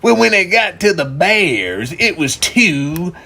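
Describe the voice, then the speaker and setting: A man telling an improvised bedtime story to children as a dad and host, animated and drawn-out, repeating phrases for emphasis. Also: sits high, creaky.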